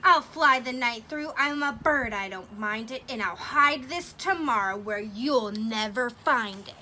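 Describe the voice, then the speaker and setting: A woman's voice: a little high, animated, a little slow, pushed and bright, a little hiss or hum, exaggerated voice. A woman voicing a mean, mighty male eagle who sneers and taunts. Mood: sneering.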